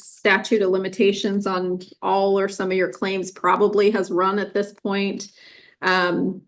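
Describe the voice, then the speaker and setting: A woman with an American accent speaks from the bench as a judge. Her voice is of medium pitch. She is formal and measured, explaining rulings firmly in unscripted courtroom speech.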